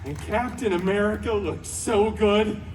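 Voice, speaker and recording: A man's voice: high voice, measured pace, over a noisy crowd. A man playing a wisecracking, flamboyant antihero who narrates a parody fairy tale in a live stage show, with sarcastic asides. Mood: wry. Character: cheeky, theatrical, wisecracking male antihero storyteller. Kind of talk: comic children's story-time parody, stage narration. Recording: distant theme park show, music behind.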